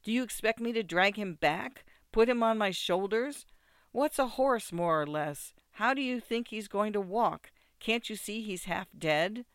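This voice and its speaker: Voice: mid-range voice, lively intonation, moderate pace, hardly any pauses, a bit bright, clean tone, dry recording, studio quality, natural voice. A narrator voicing a flustered, feeble, exasperated young husband in a storybook, pleading and fretting.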